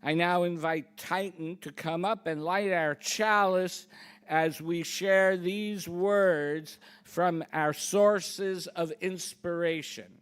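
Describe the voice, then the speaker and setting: A woman's voice: fairly low pitch, steady tone, slow pace, smooth. A woman speaking as a minister to her congregation, with informal, self-deprecating asides between announcements and readings. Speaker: congregation minister leading the service. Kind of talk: church service announcements and scripture reading. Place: church sanctuary, speaking to a congregation.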